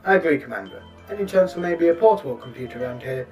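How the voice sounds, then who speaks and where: Starship captain played by a man, slightly high voice, composed, courteous, commanding, sci-fi audio drama with faint music. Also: expressive, rapid-fire, a little mellow, close to an everyday voice. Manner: commanding, courteous, crisp orders.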